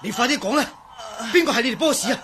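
A man acting a naive, excitable, talkative sidekick stranded in the desert in a Cantonese radio drama, exclamatory in manner. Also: very high-pitched, quick pace. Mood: agitated, threatening. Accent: Cantonese, Hong Kong. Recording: faint background noise.

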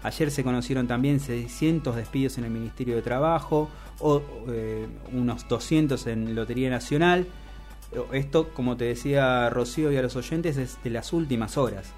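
A man with an Argentine accent co-hosting a radio show, giving news commentary in a halting way, often repeating and rephrasing. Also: medium pitch, darkish tone. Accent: Argentine Spanish. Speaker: radio co-host. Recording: music playing behind the voice.

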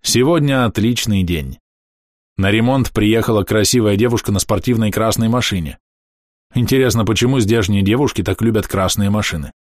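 A man reading a first-person diary aloud in a calm, matter-of-fact, measured way. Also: fairly low pitch, no room sound, studio quality. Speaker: audiobook narrator.

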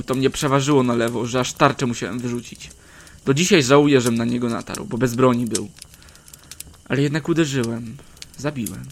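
Old soldier yarn-spinner voiced by a man: measured, archaic diction, mid-range voice, faint hiss behind. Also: mid-range voice, close to an everyday voice. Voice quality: measured, archaic storyteller's delivery. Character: aging male war veteran telling tales to travelers. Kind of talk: first-person storytelling in old-fashioned speech.

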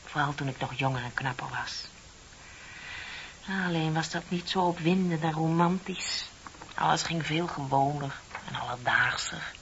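Embittered middle-aged female colleague, radio play, emphatic, sardonic, confiding, slightly low-fi hissy recording. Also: moderate pace, a bit bright, lo-fi sound. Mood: wistful, resigned. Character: bitter, lonely middle-aged female office worker, needling a colleague. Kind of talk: Dutch radio play acting.

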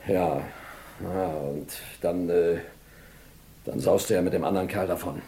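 Halting low-voiced victim. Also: male voice, steady tone, dark tone. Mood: rattled, resigned. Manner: halting, downcast. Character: rattled, humiliated robbery victim telling his story. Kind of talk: 1970 radio crime drama acting.

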